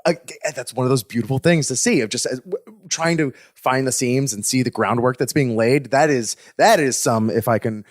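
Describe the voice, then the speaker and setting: Man, podcast co-host, mid pitch, earnest, thoughtful, "uh" fillers, dry close recording. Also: moderate pace, no echo. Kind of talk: casual chat about films.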